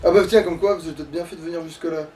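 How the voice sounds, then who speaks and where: A man's voice: a little high, steady tone, racing, darkish tone. A man chatting casually as he plays a video game, slangy and prone to grumbling. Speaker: let's play player and commentator. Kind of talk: casual gaming commentary chat. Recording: distant mic, faint background noise.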